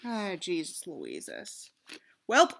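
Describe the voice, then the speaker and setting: A woman's voice: fairly low pitch, wildly swooping pitch, very slow, gravelly, background noise. A woman reading a novel aloud with sardonic commentary, in an exasperated, sarcastic manner with sweary asides. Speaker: audiobook reader and commentator.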